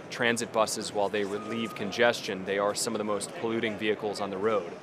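Low-voiced man pitching at a trade show. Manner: earnest, fluent pitch. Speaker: company executive. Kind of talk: promotional interview. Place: busy trade show hall. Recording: crowd chatter behind.

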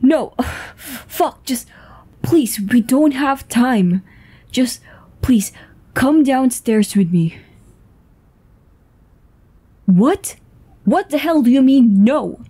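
Lady acting out bossy sweary woman in an audio drama, slow talking, soft mellow tone, urgent. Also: sits high, animated, heavily acted. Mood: panicked, angry. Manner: swearing, urgent, breathy sighs and stammers. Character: tense, foul-mouthed, commanding woman with a secret. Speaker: voice actor in an audio roleplay. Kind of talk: dramatic ASMR roleplay acting.

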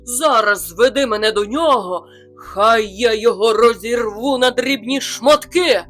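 A woman's voice: a little slow, a bit bright, soft background music. A woman voicing a huge, furious male bear who roars threats in a storybook reading. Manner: roaring, booming threats.

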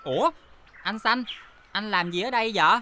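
A woman's voice: mid-range voice, expressive, moderate pace, slight background noise. A woman with a Southern Vietnamese accent voicing an earnest, bossy young pencil schoolkid who leads a club in a children's cartoon. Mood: puzzled, earnest. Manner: earnest, rule-minded. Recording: loud music under voice.

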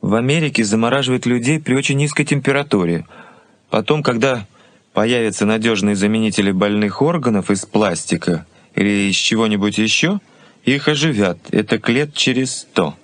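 Radio drama role: man playing idealistic young fiancé, fairly low voice, measured, earnest, a little grumbling. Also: no echo, close to an everyday voice. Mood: eager, earnest. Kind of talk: Soviet radio play acting.

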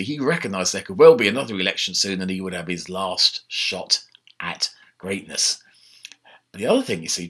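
An older man talks through a history lesson in a lively, chatty way, his voice husky yet bright and forward. He sits in a book-lined study at home and speaks into his laptop's built-in mic. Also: low voice. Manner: animated, conversational, gesturing with his hands. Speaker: history lecturer. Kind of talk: informal online history talk. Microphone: laptop webcam mic.

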